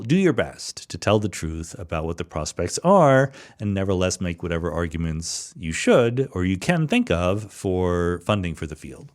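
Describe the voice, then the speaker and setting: A low-pitched man in middle age hosts a solo podcast, answering questions from listeners. His delivery is animated, with his pitch swinging widely as he works through ideas aloud, restarting phrases now and then. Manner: explanatory, thinking aloud with restarts. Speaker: podcast host.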